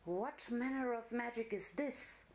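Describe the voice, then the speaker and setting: A woman's voice: fairly high pitch, unhurried, a little mellow. Storybook role: nervous, careful filly, young and easily worried, voiced by a woman. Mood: amazed, hesitant. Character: timid, worried, cautious young unicorn filly.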